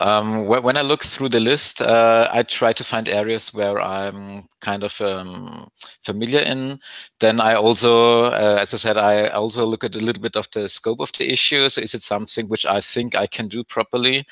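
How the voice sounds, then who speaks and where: A man's voice: fairly low pitch, fairly even intonation, moderate pace, a bit bright, no room sound. A man speaking from home over a video call, answering interview questions thoughtfully with many fillers. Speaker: open source maintainer. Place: home room with a piano.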